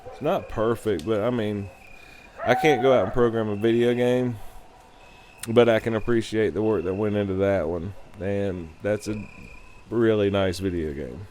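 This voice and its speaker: A man giving a casual, rambling game review in a loose, halting, unscripted way. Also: lowish voice, darkish tone, slight background noise.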